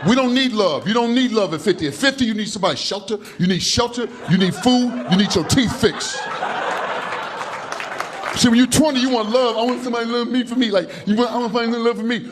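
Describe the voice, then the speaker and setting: A man in his fifties doing an animated stand-up routine on a comedy club stage into a handheld mic, gesturing broadly as he talks. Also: very high-pitched, background noise. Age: 50s. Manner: loud, animated, slangy storytelling with heavy gestures. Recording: live club recording with audience laughter. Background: audience laughing.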